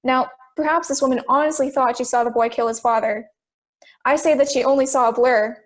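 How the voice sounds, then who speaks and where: A young woman acting a calm, persistent juror in an audio drama. The voice is high, with a deliberate, questioning delivery that reasons step by step. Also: close to an everyday voice. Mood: reasoning.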